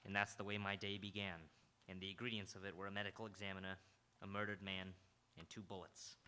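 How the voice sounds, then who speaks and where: A man's voice: low voice, sharp tone. A man playing a hard-boiled, world-weary New York police detective in old-time radio noir style, terse and brooding. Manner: terse, brooding, poetic phrasing. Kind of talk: old-time radio noir acting, second-person narration.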